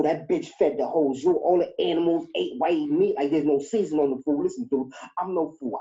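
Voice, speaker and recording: A man raps taunting, rhythmic battle verses in a dark, mellow tone. The recording is dull and lo-fi, with the top end muffled away. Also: on the high side. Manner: taunting, rhythmic rap delivery. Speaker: battle rapper.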